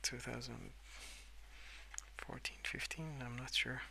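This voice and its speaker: A young adult man softly rambling in a breathy half-whisper for ASMR, relaxed and unhurried, close to the microphone. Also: medium pitch, a little hiss or hum. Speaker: ASMR video creator. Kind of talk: soft-spoken ASMR rambling while colouring.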